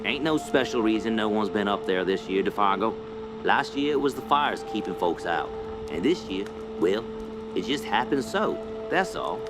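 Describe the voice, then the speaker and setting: A man's voice: fairly low pitch, lively intonation, normal speed, natural voice. Man acting a folksy backwoods hunter over music. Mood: dismissive. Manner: rustic slang, blunt and joshing. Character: gruff, folksy, teasing backwoods hunting guide. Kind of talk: radio play acting.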